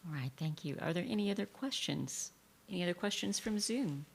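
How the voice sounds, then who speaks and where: Gravelly low woman moderator. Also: lively intonation, slight background noise. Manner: brisk, procedural. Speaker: press conference moderator.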